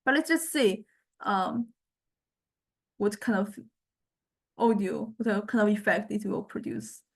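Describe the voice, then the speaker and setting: A woman teaching music technology in an informal software demonstration, thinking aloud and explaining tentatively in a halting way. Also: mid-range voice, expressive. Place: recorded software lesson.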